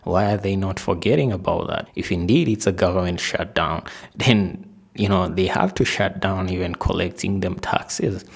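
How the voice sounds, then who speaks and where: Man, very deep pitch, heavy vocal fry, casual news commentary, conversational, rhetorical questions to viewers. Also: normal speed. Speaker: video commentator.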